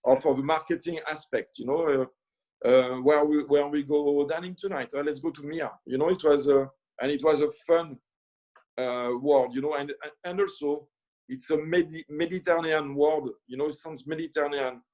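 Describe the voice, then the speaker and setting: A man's voice: on the high side, steady tone, a little mellow, rough recording. A middle-aged man from France with a French accent, a chef talking about his career in a casual interview, full of fillers and restarts. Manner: halting, many fillers and restarts. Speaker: chef and guest.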